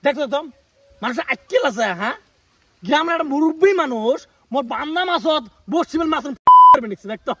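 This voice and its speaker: A man's voice: very high voice, animated, quick pace. A man playing an exasperated village pond owner in an amateur comedy skit, scolding and aggrieved, with a northern Bengali regional accent. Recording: clearly noisy amateur video sound.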